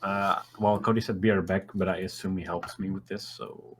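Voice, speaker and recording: Dude playing tabletop rpg, low-ish voice, casual chat, slight noise in the bg. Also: male voice, fairly even intonation, normal speed. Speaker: tabletop game player.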